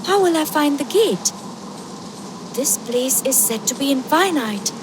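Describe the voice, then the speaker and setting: A woman's voice: sits high, expressive, background noise. A woman voicing a determined young game heroine who muses to herself in wonder. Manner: slow, musing to herself. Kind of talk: video game character acting.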